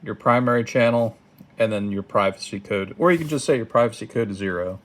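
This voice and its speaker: A man giving a casual step-by-step how-to, halting and thinking aloud, recorded indoors at a tabletop. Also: fairly low pitch, a bit bright. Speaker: product tutorial presenter. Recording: clearly noisy home recording, button clicks.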